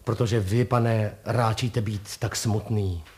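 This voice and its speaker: A man's voice: lowish voice, fairly even intonation, darkish tone, lightly acted. A man voicing a good-hearted, chatty postman in a storybook tale, with a colloquial, wordy and deferential manner. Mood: curious, polite. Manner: colloquial, deferential yet exuberant, piling up words.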